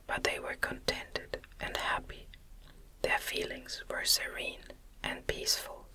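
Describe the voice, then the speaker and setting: A woman reading a gothic novel as an ASMR narrator in a gentle, breathy, unvoiced whisper, close to the microphone. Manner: gentle, deliberate literary reading. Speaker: audiobook narrator.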